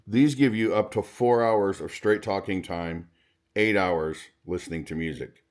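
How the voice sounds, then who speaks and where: A man's voice: low-pitched, a little slow. A man talking casually and conversationally as a product reviewer, showing items step by step in an unboxing video.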